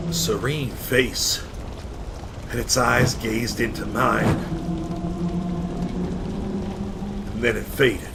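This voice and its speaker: An actor playing a haunted, weary man recounting an eerie ordeal in old-time radio horror style. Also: mid-range voice. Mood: awestruck, intense. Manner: slow, brooding first-person storytelling. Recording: clearly noisy radio drama recording.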